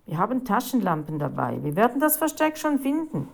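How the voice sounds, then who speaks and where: A woman's voice: medium pitch, sing-song, brisk, gravelly. A woman voicing a confident, eager boy detective who calls out emphatically in a storybook reading. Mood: cool.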